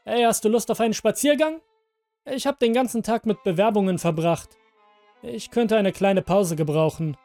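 A man's voice: high voice, moderate pace, darkish tone, no room sound, soft background music, voice pushed up. A man voicing a breezy, dismissive middle-aged father who talks in a casual, chummy, persuasive way.

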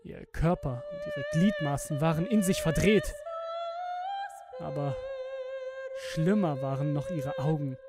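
A young man acting a guilt-ridden, haunted twenty-year-old who gives a halting, emotional confession in a horror audio drama. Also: a little high, wildly swooping pitch. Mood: bitter regret. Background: music with violin.

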